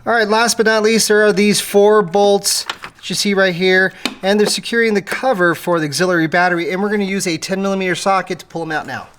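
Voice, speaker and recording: A woman's voice: mid-range voice, a little fast. Woman giving a hands-on tutorial, noisy recording. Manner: casual, talking while working with the hands. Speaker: presenter of a how-to car repair video. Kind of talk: hands-on tutorial, informal step-by-step narration. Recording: clearly noisy, clicking tool sounds.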